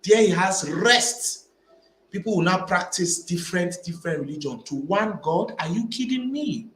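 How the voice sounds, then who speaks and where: A man with a high voice delivers an opinionated vlog monologue, hammering his points home by repeating his phrases. He sounds like he is in a roomy, echoing space, with a faint hum of background noise behind him. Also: lively intonation, normal speed, a bit bright. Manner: emphatic, repeats phrases while building arguments. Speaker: vlogger. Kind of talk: opinionated commentary to camera. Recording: echoey room with faint ambient noise.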